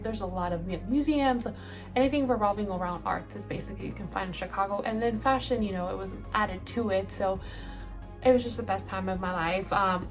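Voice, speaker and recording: A woman in her late twenties, from El Salvador, chatting casually through a get-ready-with-me Q&A, rambling with lots of ums and likes. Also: medium pitch. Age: late 20s. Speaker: beauty vlogger.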